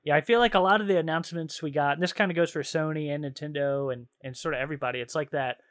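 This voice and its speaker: Casual man with a higher-pitched voice. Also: very fast, a bit bright. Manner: informal, slangy, easily amused. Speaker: podcast host. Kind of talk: casual chat about video games.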